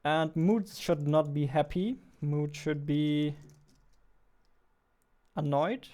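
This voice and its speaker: Smooth-voiced young man thinking aloud. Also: a little high, slow pace, mellow. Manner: thinking aloud, self-deprecating, chuckling. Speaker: game developer streaming his work. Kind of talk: casual live-stream chat while coding. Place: home room at a desk.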